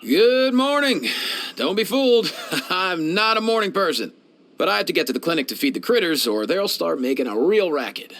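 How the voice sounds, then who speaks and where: A lively, easygoing young animal doctor. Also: male voice, high-pitched, normal speed, heavily acted. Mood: easygoing, caring. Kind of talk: scripted video game character voice lines.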